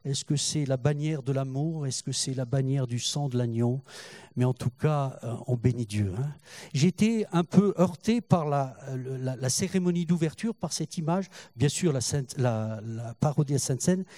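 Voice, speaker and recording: An older man preaching a sermon in French, halting and repeating words, rising in fervor as he goes. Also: medium pitch, animated, mellow, no room sound. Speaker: pastor.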